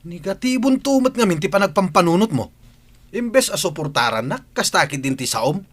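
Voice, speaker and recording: Man acting a hot-tempered Ilocano farmer, wildly swinging pitch. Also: big pitch swings, moderate pace, slight background noise. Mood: dismissive. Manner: irritable, snappish, dismissive. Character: hot-tempered, stubborn farmer husband and father. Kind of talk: Ilocano radio drama acting.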